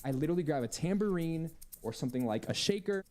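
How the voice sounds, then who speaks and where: A man's voice: on the high side, lively intonation, fast, darkish tone. A man speaking as an audio drama composer, explaining his working process in a podcast interview. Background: music playing behind the voice.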